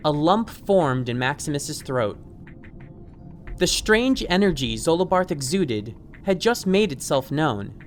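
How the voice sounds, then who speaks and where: Male audiobook narrator over music. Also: a little high. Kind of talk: scripted fiction narration.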